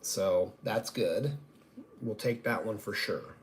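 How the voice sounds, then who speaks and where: A man's voice: lowish voice, takes it slowly. A man with a slightly nasal voice, hosting a casual live-stream card reveal in a conversational, self-deprecating way. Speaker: sports card grading reveal host. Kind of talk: casual live-stream commentary on trading cards. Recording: noticeable steady background noise.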